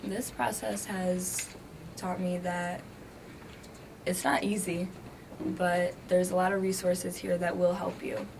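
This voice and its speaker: A young woman whose first language is Greek, a graduating student answering interview questions hesitantly with many pauses. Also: on the low side, level tone, gravelly. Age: young adult. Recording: noisy background.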